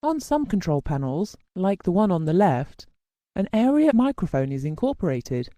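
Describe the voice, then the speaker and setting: A narrator reading a scripted technical lesson in a measured, formal, explanatory way. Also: mid-range voice, big pitch swings, dark tone, dry recording. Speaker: instructional narrator.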